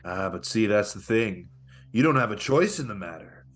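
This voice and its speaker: Man playing a smug, husky villainous con artist. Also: lowish voice, lively intonation. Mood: smug, menacing. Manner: taunting, theatrical, deliberate threats. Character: smug, menacing male con-artist pickup guru villain. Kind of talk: comedic table read of a movie script.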